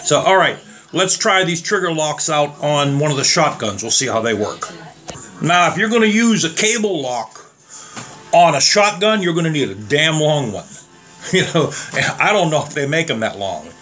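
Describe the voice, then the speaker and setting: A slightly hoarse man talking casually and rambling as he tests trigger locks on guns for his viewers. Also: fairly high pitch, very animated, normal speed, fairly bright tone, faint music behind. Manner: rambling, plain-spoken, addresses viewers as guys. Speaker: gun reviewer. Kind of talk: casual demo vlog.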